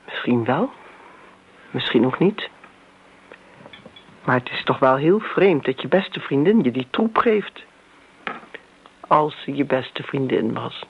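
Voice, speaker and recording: An actress playing a wounded, sardonic grown daughter in a radio drama, speaking pointedly. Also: female voice, extremely low pitch, animated, takes it slowly, pauses often, breathy edge, full character voice. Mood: accusing. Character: reproachful, wounded grown daughter defending her mother. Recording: thin, phone-like old radio recording.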